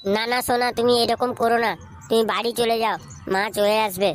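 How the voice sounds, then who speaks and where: মহিলার অভিনয়ে চড়া গলার ভীতু, অনুনয়ী তরুণী প্রেমিকা. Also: female voice, on the high side, flat delivery, normal speed, a little hiss or hum, natural voice. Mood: nervous, pleading. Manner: coaxing, pleading. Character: anxious, pleading young girlfriend. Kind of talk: comic cartoon dubbing.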